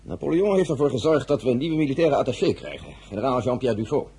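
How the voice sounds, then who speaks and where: An actor playing a reasonable, placating envoy and brother-in-law in a radio drama, speaking conversationally. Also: male voice, mid-range voice, animated, quick pace, creaky, dark tone, breathy edge, full character voice. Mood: persuasive.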